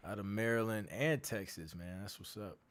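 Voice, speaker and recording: A hoarse man with a fairly low voice hosts a relaxed livestream from his home studio. He keeps clearing his throat, and his talk is easygoing and slangy as he greets viewers and talks about his music work. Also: moderate pace. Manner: laid-back, slangy, reads out viewer comments. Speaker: music producer hosting a live Q&A. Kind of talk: casual livestream chat.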